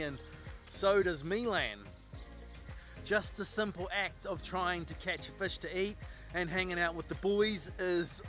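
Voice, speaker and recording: A man's voice: high voice, a bit bright. A man in his forties with a New Zealand accent, chatting cheerfully to camera while fishing from a small boat outdoors, laughing often. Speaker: fishing vlogger. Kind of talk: casual vlog chat to camera. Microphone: handheld camera at arm's length.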